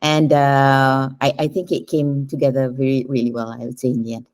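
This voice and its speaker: A woman's voice: a bass voice, little pitch movement, normal speed, darkish tone. A woman answering as a writer in a casual interview, conversational and somewhat rambling.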